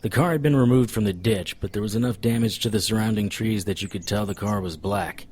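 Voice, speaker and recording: A hardboiled occult detective in a radio-style drama. His voice is low and husky, with a dry, clipped, world-weary delivery that slips easily between noir narration and dialogue. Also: brisk, a little mellow. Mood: matter-of-fact.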